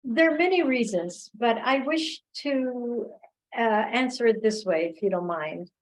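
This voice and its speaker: A woman giving thoughtful, reflective answers as a guest speaker in an online discussion, pausing as she finds her words. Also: on the high side, lively intonation, rough recording. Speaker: guest speaker, filmmaker and oral history researcher. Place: remote online video call.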